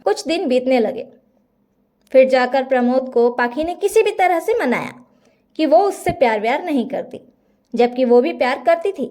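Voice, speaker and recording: A woman's voice: high voice, a little mellow. A woman narrating a scripted bedtime story as a storyteller.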